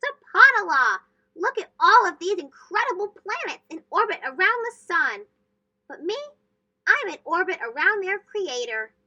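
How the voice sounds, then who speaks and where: An eager, exclamatory young schoolboy from a children's storybook, acted out by a woman. The voice is very high and bright, with lively swings in pitch, on a slightly dull lo-fi recording. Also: normal speed, full character voice. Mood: awestruck. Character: excited eight-year-old schoolboy who loves astronomy. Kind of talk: children's storybook read-aloud.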